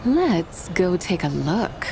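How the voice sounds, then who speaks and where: A woman's voice: lowish voice, very animated, slow, vocal fry, noisy background, exaggerated voice. A woman voicing a proud, lofty female immortal in a video game, speaking in stately, formal, haughty phrases. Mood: pensive.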